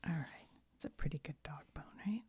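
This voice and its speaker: A woman whispering softly as she crafts, rambling and thinking aloud to her viewers. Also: sits low, a little fast. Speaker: ASMR video maker. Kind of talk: whispered ASMR craft chat.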